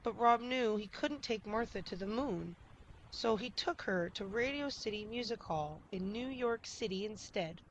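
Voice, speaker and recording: A woman reading a children's picture book aloud in expressive narration. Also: mid-range voice, moderate pace, lo-fi sound, a little hiss or hum.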